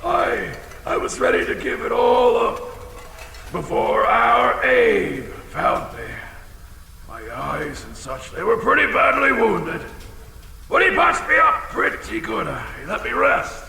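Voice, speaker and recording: A man voicing a battle-scarred old dragon in an audio drama, fiercely proud and bombastic, with a gravelly, folksy delivery. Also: very high voice, big pitch swings, slow, bright, forceful tone, heavily acted. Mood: proud, rambling. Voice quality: gravelly, creaky. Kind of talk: audio drama character acting. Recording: reverberant chamber, some background noise.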